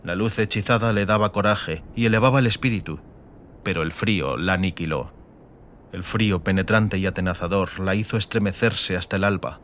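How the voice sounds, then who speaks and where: A man reading scripted dramatic audiobook narration in a measured, literary manner. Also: sits low, fairly bright tone. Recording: faint ambient noise behind.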